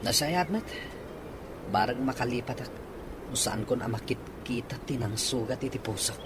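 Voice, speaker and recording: A man's voice: mid-range voice, normal speed, natural voice. Noisy recording, heartsick young Ilocano man acted. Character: heartbroken young man pining after a woman. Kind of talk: Ilocano radio drama acting.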